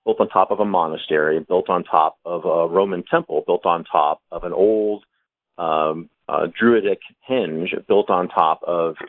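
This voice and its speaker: A man, an author on a panel, explaining his story at length with thoughtful pauses and many fillers. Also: low-pitched, dry recording. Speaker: author guest. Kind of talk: casual panel discussion. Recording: tinny phone line.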